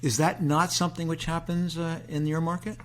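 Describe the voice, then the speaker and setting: A man hosting a podcast interview, asking questions in a relaxed, folksy way with plenty of fillers. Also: fairly high pitch, fairly quick. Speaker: podcast host. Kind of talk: conversational interview.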